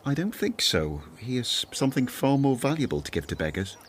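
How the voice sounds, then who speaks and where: An actor with a Northern Irish accent playing Matthew, an earnest, gentle former tax collector, in a radio drama. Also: male voice, medium pitch, big pitch swings, brisk, a little hiss or hum, close to an everyday voice.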